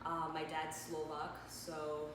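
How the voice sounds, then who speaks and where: A young woman from Manhattan, co-hosting a casual chat, animated and laughing, with lots of fillers. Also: low voice, slow, very echoey, noisy background. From Manhattan, New York. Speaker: co-host.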